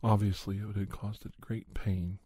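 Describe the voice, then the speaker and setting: Male actor as grave, gentle doctor, paranormal investigator narrating a podcast tale. Husky, hushed, very dry close sound. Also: fairly low pitch, flat delivery, normal speed, no echo. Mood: somber. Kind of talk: ASMR scripted storytelling.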